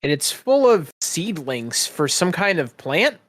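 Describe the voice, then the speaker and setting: A man narrating as game master in a measured storyteller's delivery. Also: on the high side, very animated, fairly bright tone, no echo, studio quality.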